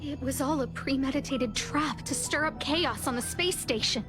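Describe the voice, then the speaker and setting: A woman's voice: high-pitched, normal speed, close to an everyday voice. An actress playing an earnest female character in a video game. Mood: alarmed realization. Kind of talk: dramatic video game voice acting. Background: background game music.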